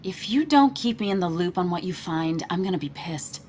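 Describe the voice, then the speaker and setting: An actress playing an anxious, principled and outspoken friend in a video game, speaking in an earnest, emotional way. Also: female voice, fairly low pitch, animated, normal speed, a little mellow, slight background noise, close to an everyday voice. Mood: stern. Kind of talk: naturalistic video game acting.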